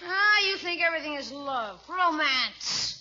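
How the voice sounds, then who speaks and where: A woman's voice: high-pitched, animated, measured pace, pushed and bright, heavily acted. A woman playing a cheeky, slangy American schoolboy in a 1940s radio comedy, brash and wisecracking. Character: cheeky, slangy, grumbling young schoolboy nephew. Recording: muffled, noisy old radio recording.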